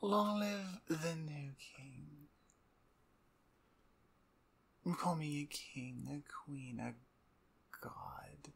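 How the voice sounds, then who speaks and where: A woman playing a menacing, playfully dominant female vampire in an ASMR audio roleplay, with a breathy, husky voice and a taunting, mock-courteous manner. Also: low-pitched, slow pace, heavily acted. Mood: mocking, triumphant.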